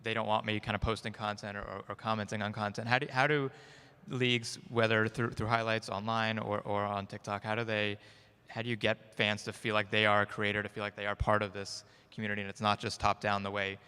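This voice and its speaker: A man's voice: on the low side, flat delivery, brisk, bright, forceful tone, clear, resonant tone. A man moderating a conference panel, asking long, searching questions. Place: conference stage. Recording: long reverb of a conference hall.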